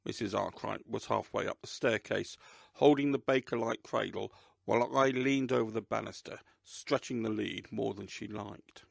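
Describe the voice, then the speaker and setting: A man's voice: lowish voice, moderate pace, vocal fry, no room sound, studio quality. A man presenting and reading scripted narration for crime fiction.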